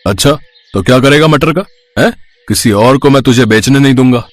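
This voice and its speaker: A man's voice: mid-range voice, a little fast, dry recording, lightly acted. A man puts on a greedy small-time politician from a cartoon fable. He is pushy and scornful, lording it over poor villagers in a dry, close recording. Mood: scornful, bossy. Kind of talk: moral-story cartoon villain dialogue.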